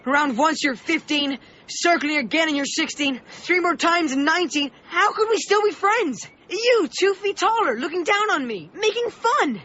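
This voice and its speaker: A man's voice: extremely high pitch, lively intonation, normal speed, pushed and bright, lo-fi sound, exaggerated voice. An actor playing an anxious, loyal boy who narrates his adventure in a radio drama. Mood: pleading, angry. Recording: band-limited radio broadcast with music underneath. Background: dramatic music.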